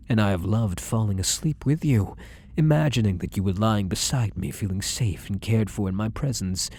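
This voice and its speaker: A man playing an obsessively loving, possessive fake psychiatrist in an ASMR roleplay, coaxing tenderly in a soft murmur that slips into whispers. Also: low voice, exaggerated voice.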